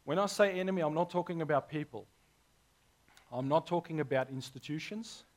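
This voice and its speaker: A man, hoarse this morning, preaching a sermon as pastor with earnest, emphatic delivery. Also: on the high side, a little fast.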